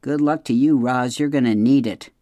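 A narrator doing a gruff, hungry, mocking fox. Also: medium pitch, moderate pace, warm tone, no room sound, natural voice. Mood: demanding, scornful. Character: hungry, sly, demanding fox.

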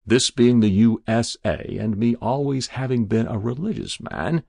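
Stubborn, uneasy farmer, played by a male storyteller: folksy, dogged, creaky and husky, for dramatic storytelling. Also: mid-range voice, lively intonation, moderate pace, darkish tone, no room sound, clean studio sound. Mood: growing bolder. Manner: folksy, plain-spoken, dogged.

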